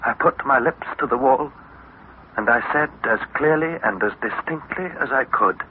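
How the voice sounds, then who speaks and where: An actor playing an earnest young explorer, narrating a radio adventure serial in a deliberate, theatrical manner. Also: male voice, mid-range voice, lightly acted. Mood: haunted, tense. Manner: deliberate, theatrical, measured delivery. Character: Harry, earnest young explorer narrating his underground ordeal. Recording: muffled, noisy vintage radio recording.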